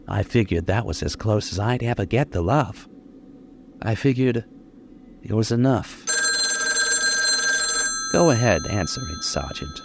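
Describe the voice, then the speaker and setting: An actor playing a lonely, menacing killer in a 1940s-style radio suspense drama, talking in slow, colloquial tough-guy speech. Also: on the low side, gravelly, a little mellow, slight background noise, heavily acted.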